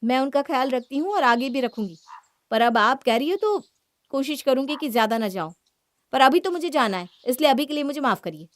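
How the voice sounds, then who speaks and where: A woman's voice: high-pitched, animated, a little fast, dry recording. A woman playing a spoiled, defiant young married woman in a cartoon story, argumentative and complaining. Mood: defensive. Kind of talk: Hindi cartoon story acting.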